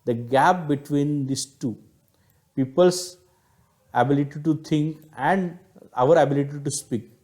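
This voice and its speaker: A man's voice: on the high side, measured pace, darkish tone. A man with an Indian accent giving an explanatory lecture, stressing key words and rephrasing his points in a didactic way. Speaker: teacher, lecturer.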